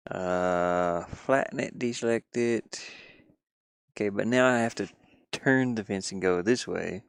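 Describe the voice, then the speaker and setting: A man's voice: lowish voice, measured pace, vocal fry, smooth, no echo, studio quality. A man from the United States chatting casually on a live game stream, rambling and muttering to himself as he works.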